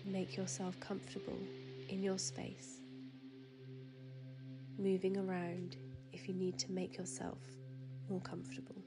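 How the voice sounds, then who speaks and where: A woman guiding a sleep meditation in a soothing, hushed manner, with soft breathy phrase endings and drawn-out pauses. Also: lowish voice, monotone. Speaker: meditation guide. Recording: piano music and waves behind. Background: relaxing piano music and ocean waves.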